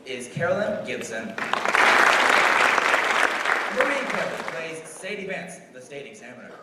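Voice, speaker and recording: A man's voice: on the high side, big pitch swings, measured pace, a loud crowd, heavy background noise. A man playing a showy old-time radio host, announcing theatrically on stage. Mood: showy, welcoming. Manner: theatrical, declamatory. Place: stage in a hall before an audience. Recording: very echoey hall from far off, music.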